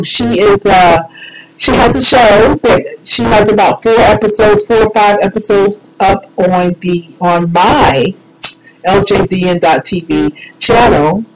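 A woman presents her own show in a relaxed, unscripted style. Her voice sits at a middle pitch, and her delivery is informal and chatty, feeling its way from phrase to phrase. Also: noisy background. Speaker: radio show host. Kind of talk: casual unscripted show hosting.

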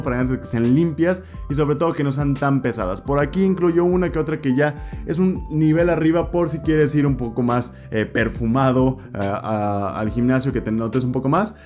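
A man's voice: mid-range voice, darkish tone. Chatty Mexican young man reviewing fragrances. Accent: Mexican Spanish. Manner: chatty, gestures a lot, repeats words for emphasis. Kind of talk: casual review vlog. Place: indoor room with shelves of perfume bottles. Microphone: desk mic in front, camera at mid distance.

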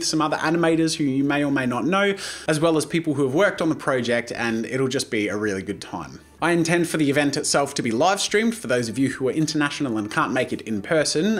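A man, a YouTuber and animator delivering a quick, enthusiastic announcement vlog from a small home studio, talking straight into the camera. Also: a little high. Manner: upbeat and enthusiastic, rapid-fire delivery.

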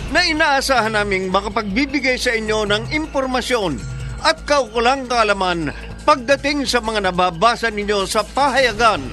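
A male radio drama announcer with a high, strongly animated voice over a clear music bed. He delivers scripted introductions in a dramatic style.

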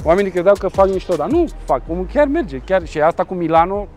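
Lively high-voiced man hosting outdoors. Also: faint music behind. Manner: lively, joking, talks with his hands. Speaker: car show host. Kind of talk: casual on-location presenting. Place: outdoor rooftop car meet.

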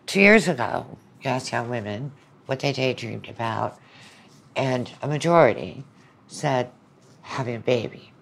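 Very low, creaky-voiced woman lecturer. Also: animated, measured pace. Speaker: university lecturer, podcast guest. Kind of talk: conversational explaining.

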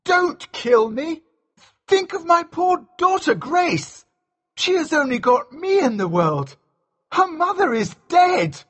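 A frightened, cowardly older man in a storybook, very high-pitched and slow. He pleads desperately, with a shaking, stammering voice. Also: big pitch swings, sharp tone, breathy edge, full character voice. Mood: pleading.

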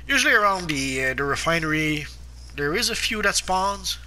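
Bright-voiced man with a sore throat. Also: a little high, expressive, a little hiss or hum. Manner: halting, frequent "uh" fillers and restarts. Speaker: gameplay guide narrator. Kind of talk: casual game commentary.

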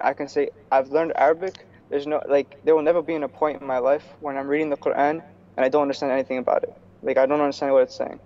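Young man on a muffled video call. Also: on the high side. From New York. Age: young adult. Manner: relaxed, lots of fillers and restarts. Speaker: guest, student. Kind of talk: casual interview over video call. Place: small room indoors. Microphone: earphone headset on video call. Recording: slightly dull video call audio.

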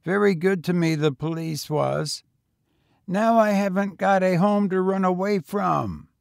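A man acts out a frail, undersized homeless youth of eighteen in a narrated story. The voice is pitched well up and husky. He talks in a knowing, insistent, colloquial way, weary beyond his years. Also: measured pace, no echo, clean studio sound. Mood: boastful, knowing.

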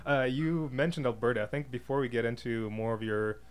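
A man's voice: mid-range voice, brisk. A man hosting a podcast interview in a casual, conversational style. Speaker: podcast host. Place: podcast booth inside a diner. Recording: clear background ambient noise.

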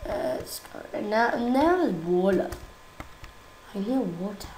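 A young woman talks over her game in an unhurried, giggly way, her voice croaky from a cold. She sounds easily exasperated and chatty, recorded in a roomy space with a faint hiss behind her. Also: medium pitch, sing-song, darkish tone. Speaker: gaming YouTuber. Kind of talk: casual gameplay commentary.

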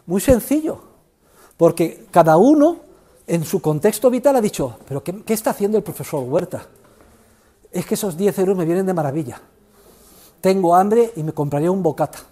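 Older professor, high-pitched, theatrical lecture voice in a big classroom. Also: male voice, expressive, normal speed, long pauses. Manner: theatrical, emphatic, swings from shouting to low asides. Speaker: economics professor. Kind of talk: university lecture. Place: large university classroom.

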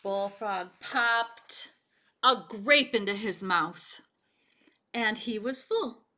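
A middle-aged woman reading a children's story aloud with deliberate, dramatic expression. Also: mid-range voice, bright, forceful tone. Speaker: picture book reader. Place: home kitchen, indoors.

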